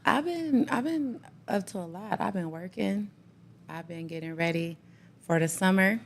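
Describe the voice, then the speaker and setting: A woman's voice: mid-range voice, normal speed, creaky, a little hiss or hum. A woman guest on a casual talk show, talking in a laid-back, blunt way and laughing easily. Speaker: guest co-host. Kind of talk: casual chat.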